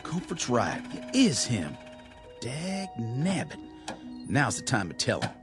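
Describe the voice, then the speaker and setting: Casting: gruff, distrustful country brother, rural American accent, mid-pitched and animated, radio play with music. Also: male voice, slow. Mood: surly, accusing. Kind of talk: radio drama acting.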